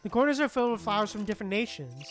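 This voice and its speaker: Casual streamer, mid-pitched, slangy and muttering, reading game text aloud over music. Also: big pitch swings, rapid-fire.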